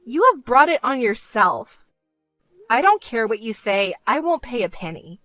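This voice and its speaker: A woman's voice: fairly high pitch, big pitch swings, unhurried, fairly bright tone, no echo, soft background music. A woman voicing a stern, fed-up sister with a grudge in a dramatized story, cold, firm and scolding.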